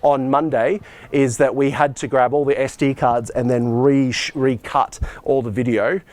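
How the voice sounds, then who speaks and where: A man's voice: mid-range voice, fairly even intonation, measured pace, a little mellow. Man giving an informal technical walk-through. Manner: casual, unscripted, practical explaining. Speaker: school arts and IT department head giving a behind-the-scenes tour. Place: on the move through a school tech room and performance venue.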